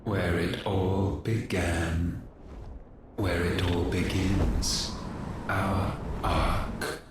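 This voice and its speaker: An actor playing an ancient, godlike male villain in a video game, cold and solemn, with grave, deliberate delivery. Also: low voice, steady tone, distant mic, noisy background. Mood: solemn, brooding. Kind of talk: dramatic video game cutscene acting. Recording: heavy echo under loud music.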